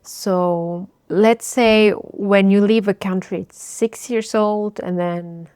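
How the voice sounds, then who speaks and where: A woman in her thirties, originally from Bulgaria, giving a thoughtful personal life update and often pausing to search for words. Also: medium pitch, steady tone, a little mellow, clear, resonant tone, dry recording, studio quality. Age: 30s. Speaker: vlogger.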